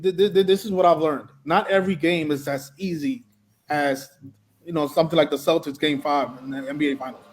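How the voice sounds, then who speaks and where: A man's voice: fairly high pitch, steady tone, fairly quick, a little hiss or hum. A man arguing in a live debate, challenging others and often stammering over repeated words.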